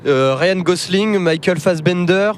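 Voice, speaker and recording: A man's voice: high voice, unhurried, a little hiss or hum. A young man chatting casually on a podcast, enthusiastic but hesitant, reeling off lists from inside a moving car. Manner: enthusiastic, list-making, hesitant. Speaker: podcast co-host. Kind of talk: casual chat.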